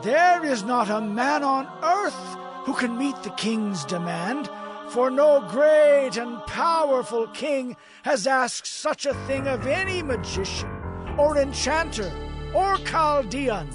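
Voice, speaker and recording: An actor playing a pleading, deferential Chaldean sage in a dramatized Bible recording, with obsequious, drawn-out declamation. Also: male voice, very high-pitched, expressive, music in the background, exaggerated voice. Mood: anxious protest. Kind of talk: dramatized Bible audio acting.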